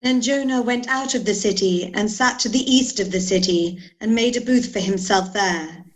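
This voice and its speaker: A woman's voice: mid-range voice, moderate pace, low-quality recording. A woman in her thirties narrating scripture from a script. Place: indoor room, video call.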